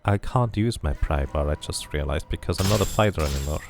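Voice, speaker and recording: Low creaky guy rambling while he plays, bouncy intonation, casual streamer. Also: animated, fairly quick, background noise. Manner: musing, thinks aloud. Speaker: game streamer. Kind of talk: casual let's play commentary.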